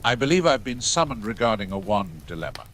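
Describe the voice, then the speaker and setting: A man voicing a calm, gentle old headmaster wizard with a measured manner in a fantasy audio story. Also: lowish voice, slight background noise.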